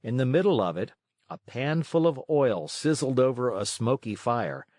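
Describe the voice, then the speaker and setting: A man's voice: medium pitch, very animated, moderate pace, darkish tone, no room sound, clean studio sound. A man reading a children's story aloud as a scripted narration.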